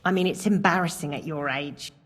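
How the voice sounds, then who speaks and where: An actress playing a sharp-tongued, warm-hearted middle-aged castle cook with a wry, no-nonsense manner in a game cutscene. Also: female voice, sits low, brisk. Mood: nostalgic, chiding.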